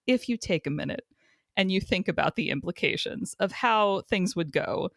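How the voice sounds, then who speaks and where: A woman's voice: mid-range voice, animated, normal speed, gravelly, no echo. A woman co-hosting a podcast, speaking in a dry, playful, wry way. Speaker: podcast co-host. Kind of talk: casual chat.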